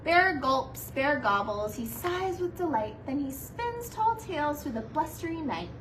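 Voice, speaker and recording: A woman with a high, very expressive voice reads a children's storybook at an unhurried pace. She sounds like a kindergarten teacher, animated and playful, dropping little asides to her young listeners. She is recorded a little way from the microphone. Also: distant mic, slight background noise, poor audio. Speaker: kindergarten teacher reading a picture book.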